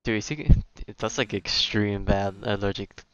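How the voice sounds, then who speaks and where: Chill streamer dude, deep flat voice, slangy chat, kinda dull mic. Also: male voice, normal speed, clear, resonant tone. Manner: laid-back, slangy. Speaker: game streamer. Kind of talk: casual reaction chat over gameplay.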